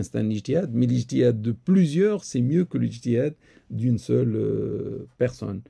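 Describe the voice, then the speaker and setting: Man, religious Q&A host, hesitant with fillers, dark mellow tone, gravelly. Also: mid-range voice. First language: Arabic. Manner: hesitant, many fillers and repeated words. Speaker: imam answering questions. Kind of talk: live question-and-answer session. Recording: live stream recording.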